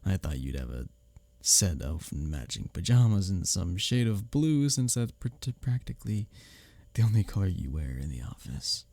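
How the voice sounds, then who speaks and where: A man acting an arrogant, teasing office rival who is secretly smitten, in an intimate audio roleplay, often breathy and near a whisper. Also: sits low, sing-song, dark tone, dry recording, full character voice. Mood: flustered, defensive. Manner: smirking, teasing, halting when flustered. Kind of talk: intimate ASMR audio roleplay addressed to the listener.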